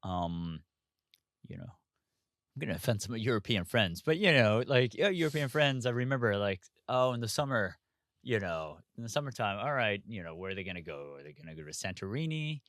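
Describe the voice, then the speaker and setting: A man's voice: on the low side, sing-song, brisk, a bit bright, no echo, studio quality. A man from Hong Kong, an investor guest talking candidly in an interview, frank and full of asides. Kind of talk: casual interview conversation.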